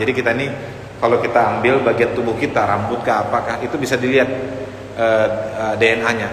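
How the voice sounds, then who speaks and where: A man's voice: medium pitch, a bit bright. Male preacher, conversational lecture style, long hall reverb, faint background noise. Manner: conversational, often addressing listeners directly; sprinkles in Arabic phrases and quotations. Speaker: Islamic preacher teaching a congregation. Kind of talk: informal religious lecture on the Prophet's biography.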